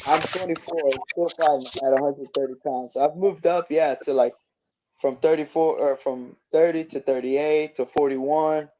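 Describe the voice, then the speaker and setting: A man's voice: a little high, fairly even intonation, moderate pace, warm tone, muffled recording. A man in his twenties, a boxer guest, chatting casually in an easygoing, rambling way with lots of fillers. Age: 20s. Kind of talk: casual interview chat.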